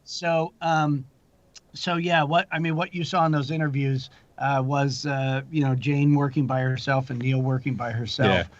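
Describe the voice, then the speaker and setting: A man's voice: mid-range voice, steady tone, moderate pace, no echo, lo-fi sound. A man speaking as a producer guest in a casual interview, rambling through anecdotes and explanations.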